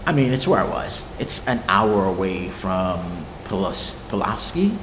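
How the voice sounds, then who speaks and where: A man's voice: deep voice, expressive, normal speed. Laid-back Haitian-born man in an echoey room. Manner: loose, swearing, laughs easily, lots of fillers. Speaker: interviewed music producer. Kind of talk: casual interview chat. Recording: echoey room with long reverb and clear background noise.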